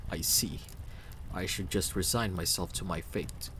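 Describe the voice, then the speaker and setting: Aloof fantasy game stranger, played by a man, very low and unhurried, dry, guarded and wry. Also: bright, forceful tone, a little hiss or hum. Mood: wry, resigned. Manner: dry, laconic, formal.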